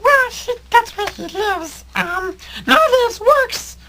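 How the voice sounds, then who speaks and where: A woman playing an excitable, bossy and scheming villain's sidekick in a comic superhero audio drama. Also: very high-pitched, slow, full character voice. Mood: chirpy, evasive. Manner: over-eager, coaxing, rambling. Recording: very noisy recording with clicks.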